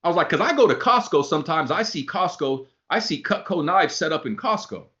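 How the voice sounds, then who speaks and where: A man giving a motivational talk to a group, animated, acting out both sides of his stories. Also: on the high side, fairly quick. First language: not English. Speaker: motivational speaker. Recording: dull lo-fi sound.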